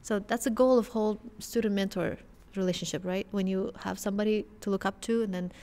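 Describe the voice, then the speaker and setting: A woman's voice: mid-range voice, steady tone, a little fast, warm tone. A young woman speaking as a podcast guest in a studio, explaining her view step by step. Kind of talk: casual conversation. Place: dark studio. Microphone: boom mic in front.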